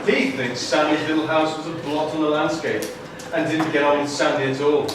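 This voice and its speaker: A man narrates a live children's puppet show with wry storytelling. His fairly high voice comes from far off in a very echoey hall, with clear background noise. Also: level tone, fairly quick.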